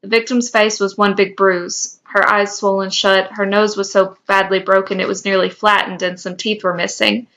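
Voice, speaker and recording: A woman's voice: medium pitch, little pitch movement, normal speed, hardly any pauses, fairly bright tone. A woman co-hosting a podcast, reading a story aloud and now and then stumbling over a word. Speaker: podcast co-host. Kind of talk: reading fan fiction aloud.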